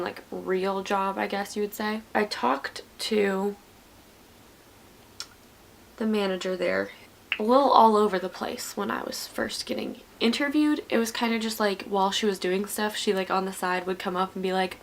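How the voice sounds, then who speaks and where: A woman's voice: medium pitch, normal speed, gravelly. A woman in her twenties telling a story in a rambling, chatty way while getting ready. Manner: rambling, chatty with many likes. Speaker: vlogger.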